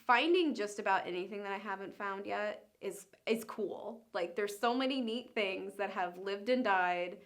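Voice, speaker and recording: A woman's voice: mid-range voice, moderate pace, hardly any pauses. A woman who hunts fossils, speaking candidly and informally in a documentary interview. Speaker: fossil hunter.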